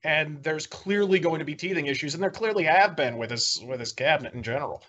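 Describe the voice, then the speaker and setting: A man's voice: a little high, lively intonation, fast, pushed and bright, highs cut off. A man in a heated political debate, argumentative, often breaking off and restarting his sentences. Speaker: debate guest.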